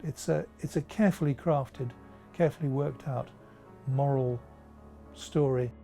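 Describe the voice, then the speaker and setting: A man's voice: medium pitch, measured pace, creaky, dark tone, faint music behind. A man speaking as an author in an interview, reflecting thoughtfully with a storyteller's careful phrasing.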